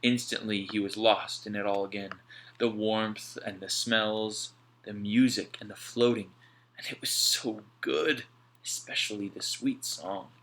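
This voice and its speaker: A man reading an audiobook aloud in an expressive storytelling style. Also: lowish voice, a little slow, slight background noise, rough recording. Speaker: audiobook reader.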